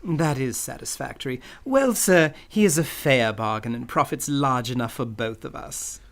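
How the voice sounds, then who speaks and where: Man playing courtly, gravelly crime boss. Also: mid-range voice, animated, moderate pace, a bit bright. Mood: smooth bargaining. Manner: courtly, verbose, pompous. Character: genteel, scheming crime boss obsessed with treasure. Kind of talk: old-time radio play acting.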